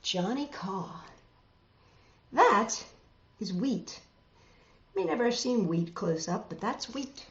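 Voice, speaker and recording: Lady doing kids storytime, highish voice that swoops around, echoey room. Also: slow pace, long pauses. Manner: expressive, exclaiming, lingering on words. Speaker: storytime host reading picture books aloud. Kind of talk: animated children's read-aloud with chatty asides. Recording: dull, echoey room recording.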